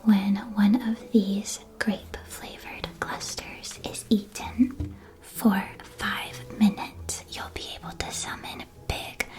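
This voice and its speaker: A woman playing a friendly, whimsical shopkeeper of magical sweets in a gentle, breathy ASMR whisper. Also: medium pitch, natural voice. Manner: gentle, unhurried, reassuring sales patter. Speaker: ASMR roleplay performer.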